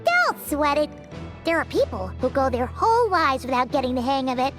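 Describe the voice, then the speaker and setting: A tiny fairy guide for a video game, extremely high and squeaky. She is childlike, bubbly and boastful, and her pitch bounces all over with excitement, with faint music behind her. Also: moderate pace. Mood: friendly, encouraging. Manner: refers to herself in third person.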